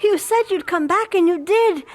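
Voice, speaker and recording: A woman playing an eager, cheeky young Chinese pupil with an excited, blunt, teasing manner in a radio drama. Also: very high voice, moderate pace.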